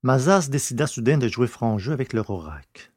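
A man reads scripted science-fiction narration. His voice is medium in pitch. His delivery is measured and literary, as a storyteller for an audio drama. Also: lively intonation, darkish tone, dry recording, clean studio sound.

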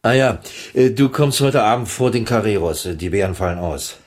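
An actor playing an inquisitive circus boss in a radio crime drama, colloquial, probing and a little hesitant. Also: male voice, on the low side, expressive, a little fast, natural voice. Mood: casual, businesslike.

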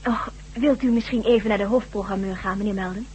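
An actress playing a businesslike computer centre clerk in a radio drama, polite and a little hesitant. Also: female voice, mid-range voice, steady tone, fast talker, darkish tone, close to an everyday voice. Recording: noisy, humming old radio recording.

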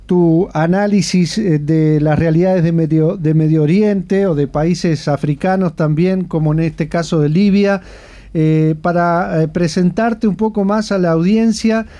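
A man's voice: fairly high pitch, fairly even intonation, a little slow, dark tone. A man with a Uruguayan accent hosting a radio interview, speaking with frequent hesitations and fillers. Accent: Uruguayan Spanish. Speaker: radio host.

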